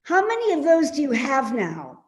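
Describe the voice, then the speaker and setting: A woman playing a sharp-tongued, evasive elderly mother in a readers theater play, with a dry, needling, dismissive delivery. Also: sits high, wildly swooping pitch, moderate pace. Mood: prying, needling.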